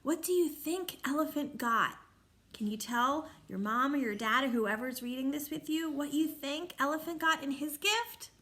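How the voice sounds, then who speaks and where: Woman, high voice, bright tone, children's read-aloud, instructive, questioning. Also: moderate pace. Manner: instructive, asks listeners questions. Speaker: teacher reading aloud to children. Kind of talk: picture-book read-aloud with explanations.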